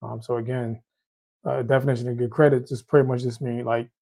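A man's voice: mid-range voice, little pitch movement, brisk, gravelly, a little mellow. A man from Haiti with a Haitian accent, hosting a casual question-and-answer show on credit, talking loosely and often restarting his phrases. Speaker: host.

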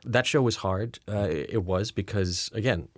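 Middle-aged actor guest from Rhode Island, low voice, reflective and sincere. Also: male voice, no room sound. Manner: earnest and reflective, drawn-out words. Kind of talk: relaxed interview talk.

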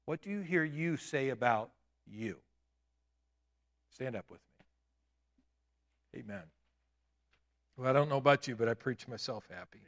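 A man in his late fifties preaching a sermon in a church, with emphatic declarations and quieter asides. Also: medium pitch, lively intonation, a little slow, long pauses. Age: 50s. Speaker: pastor. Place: church sanctuary.

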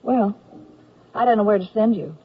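A dithering, helpful military nurse in a radio comedy. Her voice is husky and hesitant, musing indecisively. The old radio recording is muffled. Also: mid-range voice, moderate pace. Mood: vague, musing. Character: vague, dithering but helpful female army nurse lieutenant.

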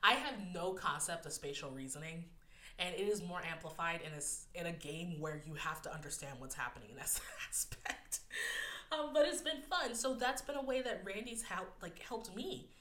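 A woman's voice: mid-range voice, normal speed, pushed and bright, echoey room. A young woman hosting a personal podcast, candid and close to tears, her voice a bit nasal, laughing often between filler words. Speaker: podcast host. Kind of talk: personal solo podcast talk.

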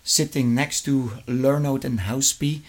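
A middle-aged man from Flemish-speaking Belgium, a native Dutch speaker with a Flemish accent, answering interview questions conversationally and somewhat haltingly. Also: mid-range voice, steady tone. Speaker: interview guest, investment fund executive.

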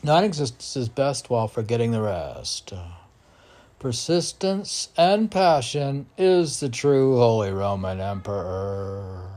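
A man's voice: mid-range voice, slow, a little mellow. A man reading his own poems aloud as a poet, with declamatory, emphatic delivery.

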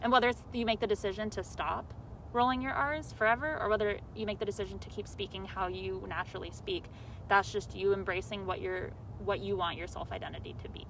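A young woman in casual conversation as a podcast host, talking warmly and often laughing, with many "like"s. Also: medium pitch, fairly even intonation, a little fast, distant mic, slight background noise, low-quality recording. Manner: chatty, laughs often, says "like" a lot.

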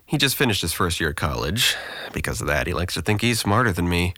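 A man's voice: deep voice, sing-song, fast talker, sharp tone, dry recording, exaggerated voice. An actor playing a depressed, guarded young man in an audio drama, with a dry, weary, self-deprecating manner. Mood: sardonic, exasperated.

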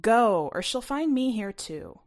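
A woman's voice: on the high side, sing-song, unhurried, voice pushed up. Woman reading as bold, bossy teenage girl.

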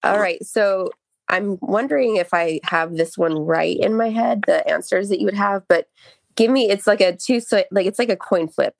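A woman's voice: medium pitch, moderate pace, creaky, no room sound. A young woman chatting in a rambling, giggly way as a podcast co-host. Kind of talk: casual chat.

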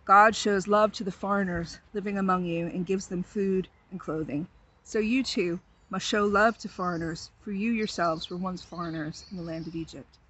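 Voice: mid-range voice, fairly even intonation, normal speed, a bit bright, husky, low-quality recording. A speaker explains the background of a scripture passage in an earnest way, then reads it aloud with emphasis. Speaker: scripture reader at a service. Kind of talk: introducing and reading scripture.

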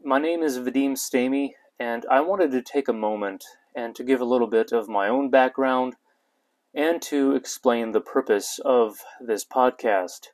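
A man in his twenties, born in Ukraine, hosting his own podcast and telling his life story in a measured, earnest monologue with light hesitations. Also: mid-range voice. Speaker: podcast host. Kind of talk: personal testimony podcast monologue.

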